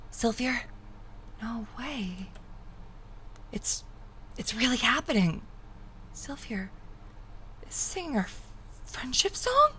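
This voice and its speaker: Woman, low creaky voice, dramatic story reading, hushed breathy endings, faint background noise. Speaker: streamer reading a visual novel aloud. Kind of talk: dramatic second-person story narration.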